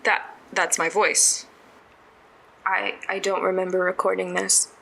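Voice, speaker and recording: A woman playing an anxious, self-doubting young psychiatry intern in an audio drama, halting and flustered as she speaks. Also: mid-range voice, level tone, vocal fry, pushed and bright, rough recording. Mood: panicked. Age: young adult. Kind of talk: naturalistic audio drama acting.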